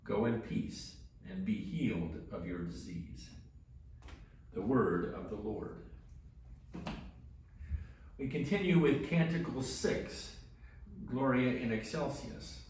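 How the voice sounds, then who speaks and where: A man's voice: mid-range voice, takes it slowly, long pauses, darkish tone. An older man, a rector, leading morning prayer and reading steadily from a book in a small church chapel, heard from a camera some distance away. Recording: very echoey room, voice from afar.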